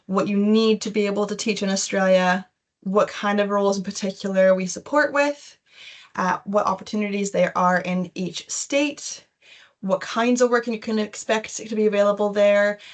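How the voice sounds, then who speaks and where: A woman's voice: medium pitch, fairly even intonation, moderate pace. A young adult woman with a Canadian accent, presenting an informal webinar in a chatty, loosely phrased way. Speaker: webinar host, education recruitment consultant.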